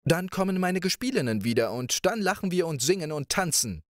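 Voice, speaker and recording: Guy putting on a giggly carefree princess girl, high and bouncy, all over the place in pitch. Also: brisk, no echo, clean studio sound. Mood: cheerful. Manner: bright, playful, lively questioning. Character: cheerful carefree young princess.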